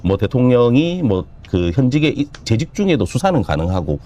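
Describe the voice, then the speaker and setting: A man's voice: mid-range voice, very animated, normal speed, warm tone, highs cut off. A man from Busan commenting on a court ruling as a panel guest, hedging with many fillers. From Busan, South Korea. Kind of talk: political commentary.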